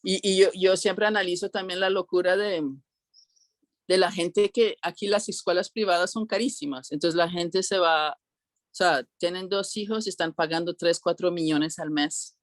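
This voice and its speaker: A woman's voice: fairly low pitch, steady tone, normal speed, no echo. A woman speaking as an interview guest in a reflective, informal way, often checking with short tag questions. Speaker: interview guest, cultural organizer.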